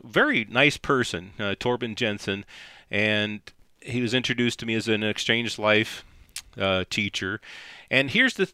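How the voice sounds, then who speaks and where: A man's voice: fairly low pitch, sharp tone, clean tone, dry recording, studio quality. A middle-aged man hosting a podcast in casual chat, rambling and chuckling as he talks. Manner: rambling, chuckling, self-correcting. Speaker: podcast host.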